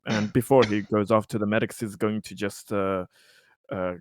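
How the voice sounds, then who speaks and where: A man playing a tabletop game, describing his character's actions with halting, improvised phrasing. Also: lowish voice, fairly even intonation, darkish tone, dry recording, clean studio sound.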